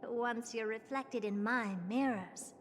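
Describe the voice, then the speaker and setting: A woman's voice: on the high side, steady tone, slow, a little hiss or hum. A woman playing a haughty, teasing genius scholar in a video game, with smug, theatrical, condescending delivery. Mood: smug, commanding.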